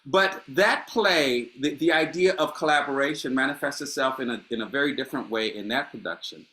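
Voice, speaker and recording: A man's voice: medium pitch, fairly quick, bright, forceful tone. A middle-aged man from Honduras, a playwright speaking reflectively in a panel conversation, with many restarts and fillers.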